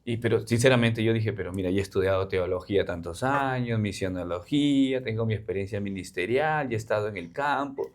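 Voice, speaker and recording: A man's voice: lowish voice, a little fast, few pauses. A man from Peru with a Peruvian Spanish accent, a missionary guest in an interview, explaining earnestly with frequent fillers. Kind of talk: interview conversation.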